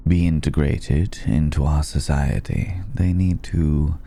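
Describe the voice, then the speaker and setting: A man playing a suave, worldly old vampire in an intimate ASMR roleplay, speaking with refined, wry hesitation and a gravelly voice. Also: steady tone, slow pace, heavily acted. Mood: wry, relieved. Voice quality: gravelly, dark low voice. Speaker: voice actor in audio roleplay.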